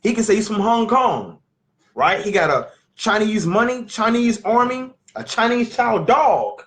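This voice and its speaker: A man, teaching scripture in an animated, questioning way at a family sit-down. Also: high-pitched. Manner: emphatic, questioning, drawn-out teaching. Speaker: Bible teacher. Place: living room at a family sit-down.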